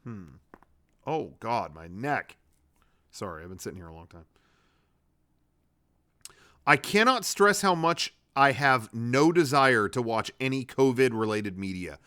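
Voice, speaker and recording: Man, mid-pitched, highly animated intonation, casual comedy host, blunt, sweary, ranting commentary. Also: unhurried, pauses often. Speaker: host of a comedy web show. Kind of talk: reading own draft tweets with casual, ranting commentary.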